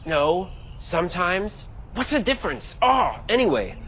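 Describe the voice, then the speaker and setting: Man playing an animated, shady monster exterminator. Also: sits high, measured pace, a little hiss or hum, heavily acted. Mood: blustering. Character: odd, shady, self-important male monster exterminator. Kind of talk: campy comedy skit acting.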